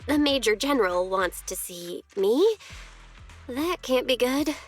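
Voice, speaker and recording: Earnest, high-voiced young envoy. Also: female voice, animated, unhurried, soft background music. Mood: uneasy. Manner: frank, challenging. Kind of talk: scripted video game voice acting.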